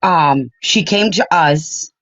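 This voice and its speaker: A man's voice: fairly high pitch, takes it slowly, a bit bright, no room sound, dull audio. A man in his fifties calling in to a livestream. He is eager and talks over the host. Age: 50s. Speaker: caller. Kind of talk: casual chat.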